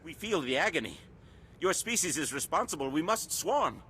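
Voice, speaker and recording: Swooping, anguished hive-mind captive. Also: male voice, a little high, big pitch swings, normal speed, sharp tone, a little hiss or hum, heavily acted. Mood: pained, agitated. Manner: eerie, speaks of himself as a collective. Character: captive man absorbed into an insect hive mind, anguished, menacing. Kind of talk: video game character acting.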